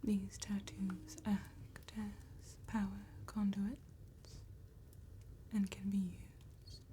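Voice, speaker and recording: A woman performing an elegant, courteous vampire tattooist in a soft-spoken ASMR roleplay, whispering breathily with formal, deliberate phrasing. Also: mid-range voice, slight background noise, close to an everyday voice.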